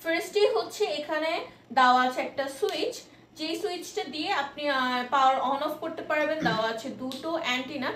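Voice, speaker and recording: A woman with a Bangladeshi accent presenting a product demonstration in an informal, explanatory, somewhat halting way, mixing in English words. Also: high-pitched, a bit bright. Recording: echoey room, distant mic, faint noise.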